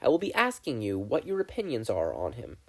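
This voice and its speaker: A man voicing a stern, deadpan male teacher with a drawl, addressing his class in a story reading. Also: mid-range voice, fairly quick. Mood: stern, dry.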